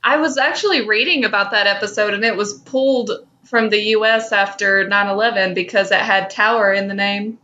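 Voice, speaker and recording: A woman's voice: medium pitch, a little fast, hardly any pauses, bright, forceful tone. A woman co-hosting a podcast in casual, conversational banter, on a slightly dull, lo-fi recording. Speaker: podcast co-host.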